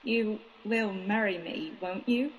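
A woman's voice: medium pitch, slow pace, slight background noise, natural voice, low-quality recording. An actress playing a timid, lovesick young servant woman in a radio mystery, stammering and pleading. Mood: anxious pleading. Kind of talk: radio mystery drama acting.

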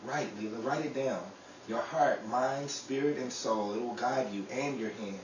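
A man's voice: mid-range voice, a little slow, lightly acted. A man playing a solemn, mysterious messenger who exhorts and guides in an old-time radio drama. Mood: solemn, urging. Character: solemn, mysterious male messenger giving guidance. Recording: roomy, distant, clearly noisy recording.

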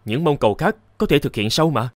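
A man playing a young travelling scholar in a folk-tale cartoon, expressive, with old-fashioned courteous speech. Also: fairly high pitch, brisk, dry recording, clean studio sound, lightly acted. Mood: earnest. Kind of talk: animated folk-tale dubbing.

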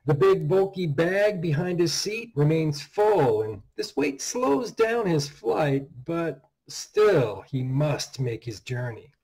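A man in his fifties giving a dramatic, theatrical reading of a horror story, with measured delivery and deliberate pauses, recorded at a desk in a small room. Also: fairly high pitch, big pitch swings, a little mellow, slightly rough, poor audio. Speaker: author reading own story. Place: small indoor room at home.

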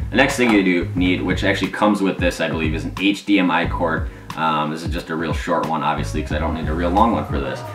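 Deep-voiced man, casual explainer. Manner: informal, conversational. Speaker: fishing video host. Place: small domestic room at a table, indoors. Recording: roomy echo, music behind.

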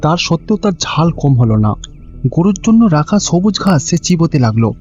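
Bengali guy narrating a cartoon tale, mellow, bit high for a man, music behind. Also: big pitch swings, a little fast. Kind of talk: scripted cartoon story narration. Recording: dull, lo-fi audio with music behind.

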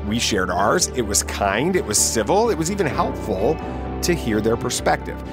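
A man's voice: medium pitch, sing-song, moderate pace, over music. A man hosting a podcast, talking to listeners in an interview and sign-off style. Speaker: podcast host.

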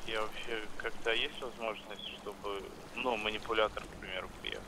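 A man's voice: fairly low pitch, fairly even intonation, fairly quick, sharp tone. A man working as a dispatcher on a business phone call, patient and practical, repeating himself. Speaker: towing service dispatcher. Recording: phone call under clear ambient noise.

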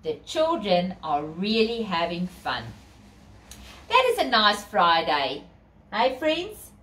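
A woman's voice: mid-range voice, wildly swooping pitch, slow pace, a bit bright. A middle-aged South African woman reading a picture book aloud to children, with theatrical, sing-song emphasis and chatty asides. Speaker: children's storyteller. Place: small bedroom, indoors. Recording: roomy echo from camera distance.

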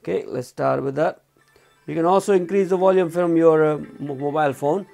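A man with a slightly high voice and plenty of vocal fry talks through a product in a small room. He is casual and unscripted, often repeating himself to explain. Faint music can sit behind him. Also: a little fast, a little mellow. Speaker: product reviewer. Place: small indoor room at a desk.

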